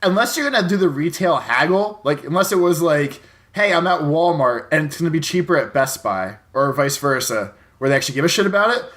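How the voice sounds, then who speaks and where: A brash, joking man with a high, bright voice and very lively intonation. He chats casually like a comedy podcast co-host, swearing freely as he riffs. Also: a little fast.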